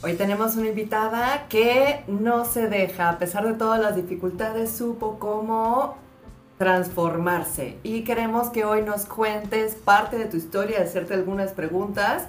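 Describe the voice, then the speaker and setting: A woman's voice: medium pitch, unhurried. A Venezuelan woman hosting a podcast, upbeat and playful as she introduces her guest. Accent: Venezuelan Spanish. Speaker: podcast host. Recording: roomy sound with faint music.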